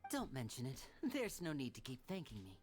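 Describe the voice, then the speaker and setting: A woman's voice: rumbling low, huge pitch swings, fairly quick, a little mellow, faint music behind, full character voice. A woman voicing a polite, charming young male stage magician in a video game, with a courteous showman's manner. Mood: obliging. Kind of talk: animated game character acting.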